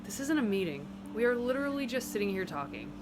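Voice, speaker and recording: A woman's voice: mid-range voice, big pitch swings, fast talker, noisy background, lightly acted. A woman playing a level-headed, probing female reaper in an audio drama, with a direct, dry manner. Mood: dry, casual. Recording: music behind the voice.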